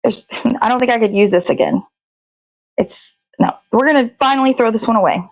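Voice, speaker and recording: A woman's voice: a little high, brisk, no room sound, rough recording. A woman chatting casually while she cooks, rambling to herself and swearing freely as a show host. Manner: rambling, self-talking, frequent swearing. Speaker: host of a daily puppet show. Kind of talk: casual chat while cooking.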